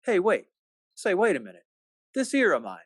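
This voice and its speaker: A man's voice: high voice, huge pitch swings, breathy edge, full character voice. A man as a folksy, sardonic troubled family man. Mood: bewildered, sardonic. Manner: halting, folksy, skeptical drawl. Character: despairing, sardonic small-town American family man. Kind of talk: 1940s-style radio play acting.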